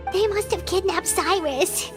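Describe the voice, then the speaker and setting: A woman voicing a small, excitable, childlike fairy girl companion in a video game, exclaiming as she talks. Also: extremely high pitch, a little slow, over loud music. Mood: anxious. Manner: exclamatory, refers to herself in third person.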